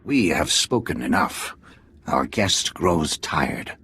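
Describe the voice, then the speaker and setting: A man playing a shrewd, wry undercover agent in a video game, with a gravelly, slightly husky voice and a measured, dry delivery. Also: rumbling low, lively intonation, pushed and bright, exaggerated voice. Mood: firm, calm. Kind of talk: video game cutscene acting.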